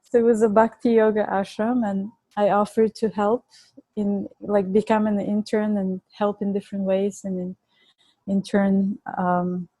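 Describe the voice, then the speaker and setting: A woman's voice: mid-range voice, little pitch movement, a little slow, dark tone, dry recording, low-quality recording. A woman in her forties with a Bulgarian accent, a podcast guest telling her story in an earnest way, laughing easily and restarting words. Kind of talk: conversational storytelling.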